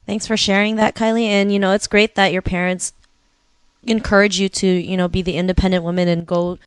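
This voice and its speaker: Female podcast host, voice gone rough from losing it, smooth tone in a dry room. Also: mid-range voice, level tone, fairly quick, no room sound. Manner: casual, with many "you know" fillers. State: has lost her voice. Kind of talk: podcast interview.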